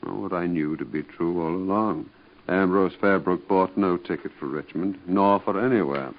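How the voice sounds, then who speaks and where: A calm, dogged gentleman in a vintage radio serial. His voice is deep and assured. The recording is dull with age. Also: moderate pace. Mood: certain, grim. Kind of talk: 1940s radio soap acting.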